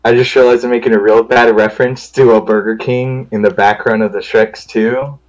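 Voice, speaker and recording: A man's voice: medium pitch, steady tone, brisk, muffled recording. A man in a playful, rambling group read-through, switching between casual joking and reading his part.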